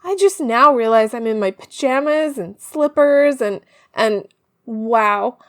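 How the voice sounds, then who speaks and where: A woman's voice: on the high side, slow pace, a bit bright, dry recording, clean studio sound. A woman playing a frantic, chatty young woman on the run in an audio drama, with a rambling, confiding manner. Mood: panicked, frantic. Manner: rambling, informal, breathless asides to listeners. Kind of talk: first-person audio drama, confiding podcast monologue.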